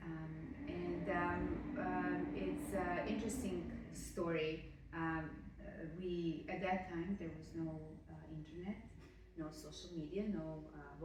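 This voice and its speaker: A woman in her forties from Serbia with a Serbian accent, telling her story haltingly as an interview guest. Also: low-pitched, a little mellow. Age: 40s. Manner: halting, hesitant delivery. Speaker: podcast guest. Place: indoors in her house. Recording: very echoey room, distant mic, faint noise.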